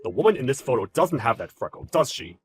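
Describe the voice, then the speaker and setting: A man playing a calm, polite, deferential employee in a dramatized story, speaking in a measured and formal way. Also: medium pitch, soft background music, natural voice. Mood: calmly firm. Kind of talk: dramatized story narration with character voices.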